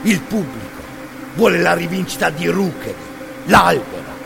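A man performing a theatrical radio monologue in a solemn, incantatory manner, with deliberate repetition. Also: on the high side, big pitch swings, gravelly, noisy background. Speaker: actor-narrator. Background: music.

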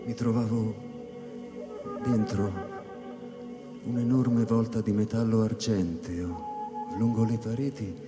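A male actor playing a solitary astronaut who recounts his space voyage in a measured, solemn dramatic narration. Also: on the low side, steady tone. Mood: grave, tense. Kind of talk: literary sci-fi dramatic narration. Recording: long hall reverb over live electronic music. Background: electronic music.

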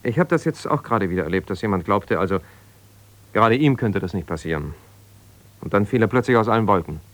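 An actor playing an ambitious, self-justifying lawyer in a German radio drama, speaking haltingly and conversationally as if on the phone. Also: male voice, lowish voice, lively intonation, no room sound, close to an everyday voice. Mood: measured, advising. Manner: conversational, halting, with repeated phrases and pauses.

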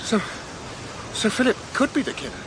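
An actor playing an earnest male doctor turned amateur investigator in a radio drama, urgent and halting as he questions. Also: high voice, wildly swooping pitch, background noise. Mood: dawning alarm.

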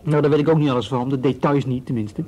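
A man playing a calm, hesitant young cop. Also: mid-range voice, fast, highs cut off, a little hiss or hum, natural voice. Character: easygoing young male police officer. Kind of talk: radio drama acting.

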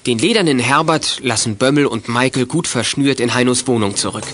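A man's voice: medium pitch, brisk, fairly bright tone. A man narrating an audio drama from a script. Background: music.

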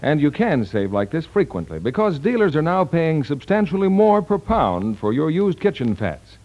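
A man's voice: fairly high pitch, sing-song, normal speed, darkish tone, lo-fi sound. An American man reading scripted announcements as a 1940s radio announcer. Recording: band-limited 1940s radio broadcast recording.

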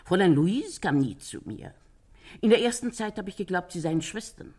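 An older landlady in a radio crime play, her voice low and husky. She gossips with sympathy, swinging widely in pitch as she retells what people said. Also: expressive, moderate pace, a little mellow. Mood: chatty, confiding. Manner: chatty storytelling, quotes others animatedly. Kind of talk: German radio crime drama acting.

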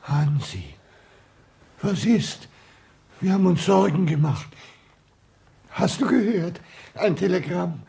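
An actor in a German radio play, playing an earnest, anxious envoy with a creaky voice and urgent manner. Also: male voice, high voice, big pitch swings, slow pace, long pauses, background noise, exaggerated voice. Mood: excited, worried.

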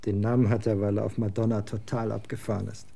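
A scornful young rocker son of twenty, blunt slang, gravelly dark voice; radio play. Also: male voice, fairly low pitch. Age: 20s. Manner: slangy, scornful, quick to get excited. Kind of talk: German radio drama acting.

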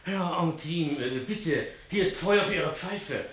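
An actor playing a nervous, servile innkeeper in a radio play, hesitant and fussily obsequious. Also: male voice, a little high, expressive, slow pace, a bit bright, reverberant. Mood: anxious, eager. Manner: stammering, obsequious. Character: nervous, servile male innkeeper fussing over his guests. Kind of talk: German radio play acting.

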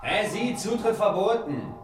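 An actor playing a scrawny, boyish scrapyard mechanic, brusque and suspicious, in a radio drama. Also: male voice, high voice, animated, measured pace. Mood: hostile. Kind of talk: German radio drama acting. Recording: echoey space with music behind.

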